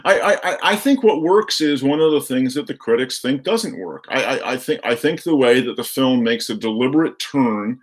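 A man of average pitch talks through his views on film as a guest commentator. He speaks in a measured, hesitant way, often qualifying what he thinks. The style is conversational and thoughtful. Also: expressive.

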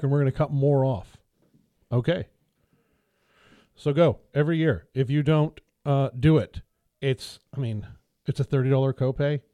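Mellow-voiced rambling podcast co-host man. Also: medium pitch, no room sound. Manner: rambling, self-deprecating storyteller. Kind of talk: casual storytelling chat. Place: small podcast studio around a table.

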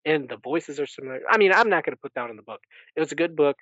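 A man podcast host giving a casual recap in an animated storytelling way. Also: on the high side, very fast, dry recording, dull audio.

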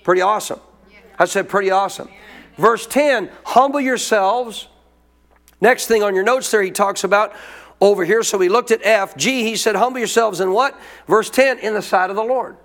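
A man with a high-pitched voice preaching a sermon. He teaches as a pastor in a loose, colloquial way. He keeps prompting the congregation to answer back. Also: moderate pace, fairly bright tone, soft background music. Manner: call-and-response preaching, colloquial.

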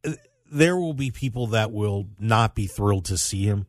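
Low-pitched man hosting sports talk. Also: expressive, normal speed, dry recording. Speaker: radio show host. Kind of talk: casual sports talk, wrestling news.

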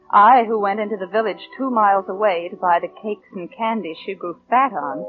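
An actress playing a bitter, downtrodden orphan niece, narrating with restrained intensity in a 1940s radio drama. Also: female voice, lowish voice, close to an everyday voice. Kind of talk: 1940s radio acting, first-person narration. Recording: narrow-band vintage radio recording with music.

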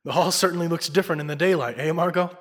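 A man's voice: fairly high pitch, fast talker, fairly bright tone. A man playing a bold, suave crime-fighting investigator in an old-time radio drama, speaking with theatrical confidence. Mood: matter-of-fact. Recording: long reverb with faint music.

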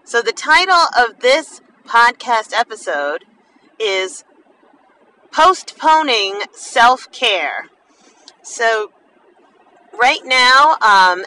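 A woman hosting a podcast in a casual, expressive monologue, with a slight lisp and slurred enunciation from a half-numb mouth. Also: a little high, takes it slowly. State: half the mouth numb after dental work. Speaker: podcast host. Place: inside a car while driving. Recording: distant mic, faint vehicle noise. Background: faint car driving noise.

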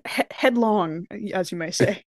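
A woman's voice: on the low side, a little slow. A woman chatting casually and joking as a player in a tabletop role-playing game. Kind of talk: casual banter during a game.

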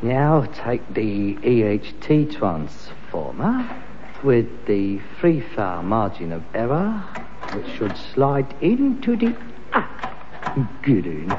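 Muffled, echoing sitcom recording of an ambitious London son. He puts on grand airs that slip into working-class exasperation, with a high voice that swings widely. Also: wildly swooping pitch, slow. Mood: frustrated. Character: frustrated, pretentious rag-and-bone man's son with big ambitions. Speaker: actor in a sitcom. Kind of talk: British sitcom acting. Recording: muffled, hissy, reverberant archive comedy recording.

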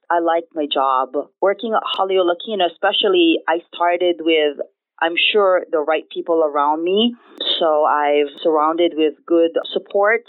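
A middle-aged woman from the Philippines with a Filipino accent, answering conversationally as an interview guest. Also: lowish voice. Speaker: interview guest, director of nursing. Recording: tinny phone line.

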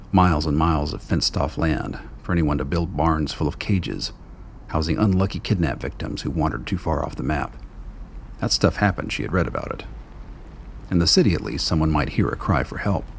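A man with a gravelly, husky voice giving scripted dramatic narration for a radio play. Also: rumbling low, a little hiss or hum.

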